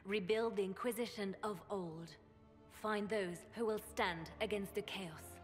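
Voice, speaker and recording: An actress playing a cool, suspicious advisor in a fantasy game, speaking in a measured, serious way. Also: female voice, medium pitch, close to an everyday voice. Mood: grave. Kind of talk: dramatic fantasy game acting. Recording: reverberant hall with faint music behind.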